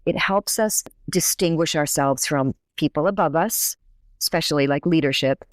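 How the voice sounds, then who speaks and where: A woman's voice: sits low, moderate pace, no echo. Woman explaining research, dry close mic. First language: English. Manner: thoughtful, explanatory, frequent restarts. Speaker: professor, guest researcher. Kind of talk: conversational interview.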